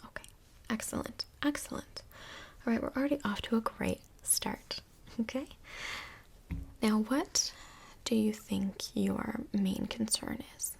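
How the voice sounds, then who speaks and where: A woman playing a calm, reassuring couples' counsellor in a soft-spoken, breathy, mostly whispered ASMR role play, gentle and measured. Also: a little high, exaggerated voice. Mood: calm, encouraging.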